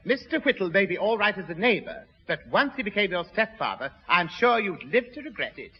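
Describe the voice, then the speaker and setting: A man's voice: high-pitched, very animated, fairly quick, breathy edge, full character voice. An actor playing a pompous, fussy, easily indignant man with an English accent and formal manner, in a 1960s radio sitcom. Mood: stern. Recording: muffled, reverberant old radio recording.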